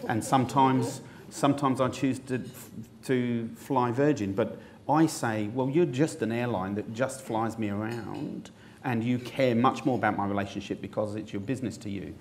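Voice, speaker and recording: A man, speaking on a panel with frequent restarts and lively asides. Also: medium pitch, normal speed, a little hiss or hum. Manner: hesitant restarts, animated asides. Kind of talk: panel discussion. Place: event studio with branded backdrop. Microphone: lapel mic.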